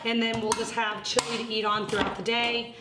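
A chatty woman in her thirties walks through her cooking plans, her voice a little high. She talks from across an echoey home kitchen, and pots and pans clatter loudly behind her. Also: level tone, a little fast, heavy background noise. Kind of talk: casual talk to camera while cooking. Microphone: camera on a tripod across the kitchen.

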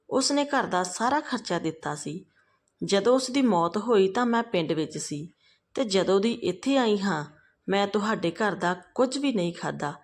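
A woman's voice: medium pitch, natural voice. A woman storyteller voicing an older widowed mother who is firm, pointed and unyielding.

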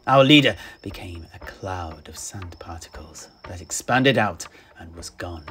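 A low-voiced man tells a fantasy adventure in character. He goes slowly and theatrically, leaving long pauses, with faint noise and crickets behind him. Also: lively intonation, bright, forceful tone. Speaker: storyteller, host. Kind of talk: dramatic first-person fantasy narration in character.

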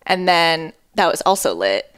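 A woman's voice: low-pitched, sing-song, unhurried, fairly bright tone, clean tone, dry recording. A young woman in her twenties, a film editor, talking casually in a podcast interview on a headset mic, with plenty of "like" and "um" and a dry sense of humor. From born in Japan, raised in Connecticut, China, Hawaii. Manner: laid-back, many "like" and "um" fillers, dry jokes. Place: small dining room, indoors.

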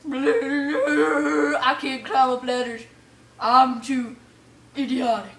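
Bright, high-voiced woman, excitable gamer. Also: very slow. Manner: excitable, exclaiming and muttering to self. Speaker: gamer playing an adventure map for viewers. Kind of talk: casual let's play commentary. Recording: roomy echo, distant mic, faint noise.

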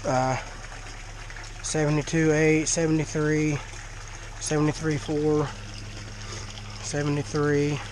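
A man's voice: a little high, completely flat delivery, slow, pauses often, a little mellow, slight background noise, low-quality recording. A congested man, chatting casually while filming his fish tank in a dim cinder-block shed. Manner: folksy, chatty. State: congested, talking through a blocked nose. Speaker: fish-keeping vlogger. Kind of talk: casual vlog to camera. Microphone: action camera worn by the speaker.

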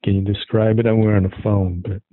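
A man's voice: lowish voice, flat delivery, brisk, dry recording. A man hosting a casual interview over a thin phone line, asking questions in a tentative, curious way. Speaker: podcast interviewer. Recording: thin, narrow telephone line.

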